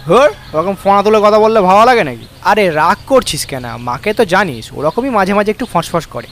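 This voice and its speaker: A man's voice: sits high, animated, fairly quick, fairly bright tone, a little hiss or hum. A man voicing a young male water snake in a comic cartoon, cheeky and easygoing, chatting in teasing slang. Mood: chatty. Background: music.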